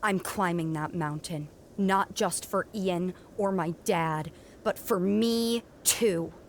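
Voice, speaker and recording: A woman's voice: on the low side, a little hiss or hum. A woman playing an earnest, determined young heroine burdened with guilt in a family audio drama. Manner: earnest, halting. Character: determined, guilt-ridden young heroine climbing a magical mountain.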